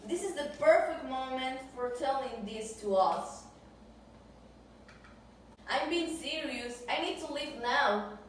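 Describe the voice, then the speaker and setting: Casting: woman as concerned sea creature for puppet show, halting non-native English, distant in a very echoey room. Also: high-pitched, expressive, a little hiss or hum. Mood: urgent. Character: caring, alarmed sea creature friend in oil spill. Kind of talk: amateur puppet show acting.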